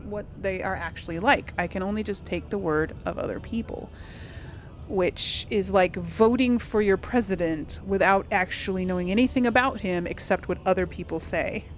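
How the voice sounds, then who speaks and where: A woman's voice: medium pitch, normal speed, slight background noise. Casual woman musing through a game. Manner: musing aloud, conversational. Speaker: gaming channel host. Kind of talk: casual game role-play commentary.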